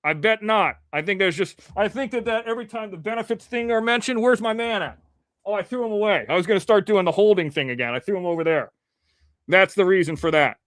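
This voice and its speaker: A man from Ohio hosts a live show with a high voice, rambling through the chat and swearing casually. His diction stays clean and crisp. The sound is dry and close, with no room around it. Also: fast talker, fairly bright tone, no echo.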